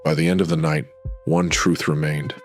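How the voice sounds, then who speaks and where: Very deep-voiced man narrating dark noir. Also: level tone, normal speed, soft background music. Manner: brooding, dramatic. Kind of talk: scripted noir radio drama narration.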